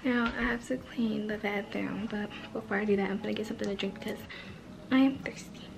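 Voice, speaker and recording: A woman's voice: medium pitch, steady tone, a little fast, creaky, very noisy. A teenage girl talking casually to her viewers in her bedroom and kitchen, chatty and often dropping to a whisper, into a handheld camera close to her face. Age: teens. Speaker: vlogger. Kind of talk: casual day-in-the-life vlog. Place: bedroom and kitchen at home, indoors.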